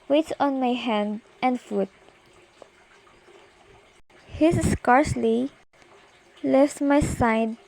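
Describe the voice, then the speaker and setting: A high, fretful young woman playing a bedridden wife in a student radio drama. She is demanding and easily flustered, pressing her case with dramatic, insistent pleas. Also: a little mellow, rough recording. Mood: nervous, explaining. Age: teenager. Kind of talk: amateur student radio play acting.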